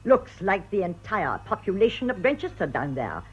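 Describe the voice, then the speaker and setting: Husky, scornful older woman. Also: medium pitch, sing-song, normal speed. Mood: bitter. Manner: scornful, ironic. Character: sharp, bitter older sister, bereaved and scornful. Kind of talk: radio drama acting. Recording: dull lo-fi radio recording.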